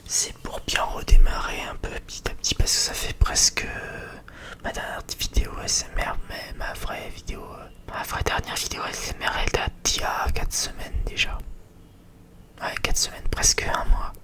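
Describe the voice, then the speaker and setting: A man's voice: normal speed, pushed and bright. A man whispering breathily in a casual, unscripted ASMR chat, rambling and hesitating as he talks. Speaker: ASMR video creator.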